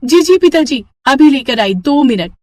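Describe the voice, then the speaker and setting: Casting: female narrator as young village bahu for an animated moral tale, high plaintive pitch, protesting, noisy track. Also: a little slow. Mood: hurried, obliging. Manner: complaining, plaintive. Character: young village daughters-in-law, whiny, protesting, defiant.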